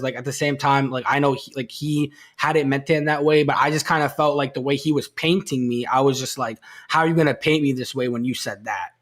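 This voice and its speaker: A man on a livestream talk show, answering in a rambling, halting way with many restarts. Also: mid-range voice, a bit bright.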